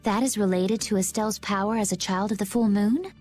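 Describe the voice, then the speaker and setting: An actress playing a calm, fearless young woman in a video game, composed and gently teasing. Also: medium pitch, brisk, soft background music, close to an everyday voice. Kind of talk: video game cutscene acting.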